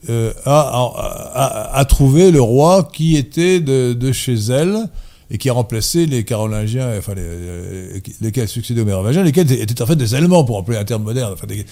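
A man in a casual debate, erudite and digressive, often pausing on fillers and correcting himself. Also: mid-range voice, lively intonation, warm tone. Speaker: debate guest.

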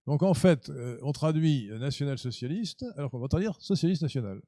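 Radio host guy lecturing hard, kinda high voice but mellow, dry close sound. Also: animated, a little fast, dry recording. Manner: emphatic, lecturing, with fillers and restarts. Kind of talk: radio interview commentary.

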